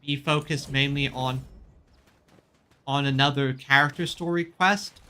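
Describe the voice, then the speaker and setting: A man streaming a game, chatting casually in a halting way, often repeating and rephrasing himself mid-sentence. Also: medium pitch, steady tone, bright, forceful tone, a little hiss or hum. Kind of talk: casual chat commentary on a game. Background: music in the background.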